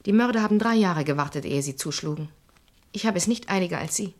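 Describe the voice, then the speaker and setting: An actress playing a cool, resolute grieving daughter with a controlled, firm delivery in a radio crime drama. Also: female voice, lowish voice, expressive, fast talker, dry recording, close to an everyday voice. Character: cool, resolute pianist, murdered man's daughter.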